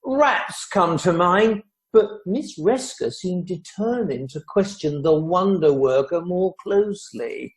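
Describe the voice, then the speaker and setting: A man acting a witty, teasing society gentleman in an amateur radio play, with a dry, formal delivery. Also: sits high, slow pace, few pauses. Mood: droll.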